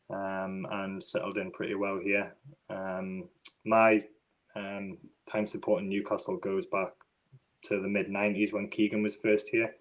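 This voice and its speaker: A man from northeast England with a Northeast English accent, hosting a casual podcast chat over a video call and filling pauses with ums. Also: on the low side, poor audio. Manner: relaxed, many ums and restarts. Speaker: podcast host.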